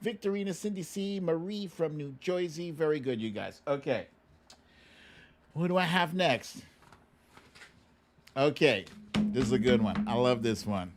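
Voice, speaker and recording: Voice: mid-range voice, sing-song, a little slow, long pauses, faint music behind. A livestream host chatting casually and reading out names in a playful, informal, self-deprecating way. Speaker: livestream host running a song-guessing game.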